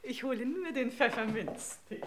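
A woman's voice: fairly high pitch, moderate pace, a bit bright, a little hiss or hum, lightly acted. An actress playing a gushing, coaxing aristocratic lady hostess with grand politeness in an old radio crime comedy. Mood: gushing, solicitous. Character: gushing, over-solicitous aristocratic lady hostess, slyly insistent.

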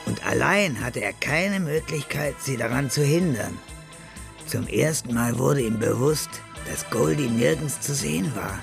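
The composed narrator of a crime story on radio. His voice is medium in pitch with plenty of creak. Music runs clearly underneath. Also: expressive, measured pace.

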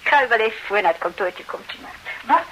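An actress playing a brisk, businesslike office assistant in a radio play. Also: female voice, fairly high pitch, animated, a bit bright, a little hiss or hum, low-quality recording. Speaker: office assistant at an advice bureau.

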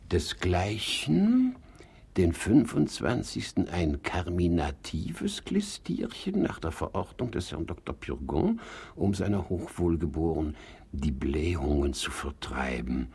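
A creaky, fussy old-style miserly hypochondriac. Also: male voice, low voice, darkish tone, full character voice. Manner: theatrical muttering, wailing and scolding. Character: fussy, miserly male hypochondriac, querulous and self-pitying. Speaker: actor. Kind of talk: German radio play comedy acting.

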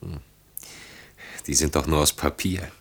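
Very deep-voiced earnest man. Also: steady tone, unhurried, creaky, fairly bright tone, slightly rough, full character voice. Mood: gentle, wistful. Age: 30s. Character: earnest, self-reproaching man of 32 in love. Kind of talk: German radio play acting.

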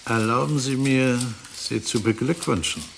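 A man acting in a German radio drama as a formal official, ceremonious and unctuously polite in a measured way. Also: medium pitch, vocal fry, a bit bright, slight background noise. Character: smooth-talking, formal official thanking with grand courtesy.

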